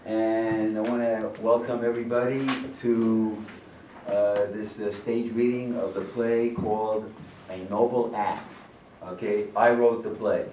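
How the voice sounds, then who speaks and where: An older man with a lowish voice addresses an audience informally as the director of a staged reading. He is emphatic and rhetorical, often tagging his points with a check for agreement. The recording is roomy, picked up from a distance with faint noise. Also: little pitch movement, unhurried, mellow. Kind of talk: informal welcome speech to an audience. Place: medium-sized indoor rehearsal room with audience. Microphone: camera several metres away.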